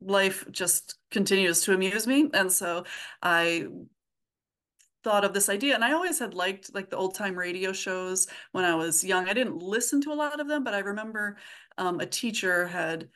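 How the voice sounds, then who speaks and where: A woman speaking as an interview guest in a casual, halting way, with thoughts that trail and pick up again. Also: mid-range voice, fairly bright tone. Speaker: podcast guest, comedy audio drama writer.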